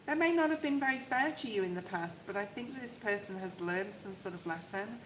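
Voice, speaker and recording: A soft-voiced woman giving a live tarot reading, talking in a chatty, reassuring way and often checking in with "okay?". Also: mid-range voice, lively intonation, brisk. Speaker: tarot reader. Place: outdoors in the rain. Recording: echoey, with loud rain noise. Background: rain noise.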